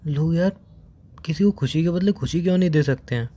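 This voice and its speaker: A young man on a phone call. He has been crying, sounds hoarse, and speaks in a subdued, halting way, slow to open up. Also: a little high, dark tone. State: tearful, hoarse. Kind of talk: emotional phone conversation.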